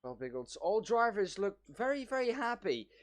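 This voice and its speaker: Higher-voiced, upbeat male co-commentator. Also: big pitch swings, normal speed. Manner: upbeat, easy laughs, wraps up the show. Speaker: race co-commentator. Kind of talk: sim racing commentary.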